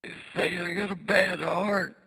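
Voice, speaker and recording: A man's voice: high-pitched, level tone, a little slow, vocal fry, bright, forceful tone, breathy edge. A young American man, weak and tearful, pleading on a recorded jailhouse phone call. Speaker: jail inmate on a phone call.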